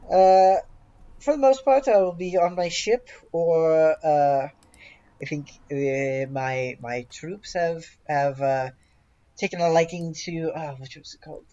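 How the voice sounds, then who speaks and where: A game master narrates a tabletop session off the cuff. The delivery is halting and hesitant, with a mid-range pitch. The recording is dull and a little lo-fi. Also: mellow.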